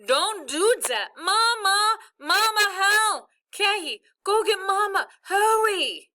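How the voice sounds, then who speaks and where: Lady doing a desperate whiny kid boy, high pitched wailing and begging, audiobook. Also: expressive, slow, bright, forceful tone, heavily acted. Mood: panicked, wailing. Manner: wailing, pleading. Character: panicky, wailing boy stuck by his lips.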